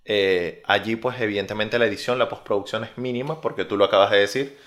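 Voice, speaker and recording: A young Venezuelan man with a Venezuelan Spanish accent, a researcher answering interview questions in a measured way with frequent pauses. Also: fairly low pitch, fairly even intonation, a bit bright. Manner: measured, many filler "eh" pauses.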